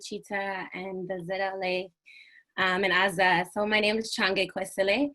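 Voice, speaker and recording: Bright, earnest young woman presenting. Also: medium pitch. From United States. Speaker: panel speaker. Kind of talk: prepared presentation on a video call.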